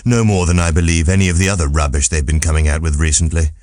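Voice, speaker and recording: Man voicing a low, deadpan cynical philosopher. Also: quick pace, no room sound. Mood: dismissive. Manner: deadpan, dry, blunt. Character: Martin, world-weary cynical pessimist philosopher man. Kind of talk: audiobook character voice.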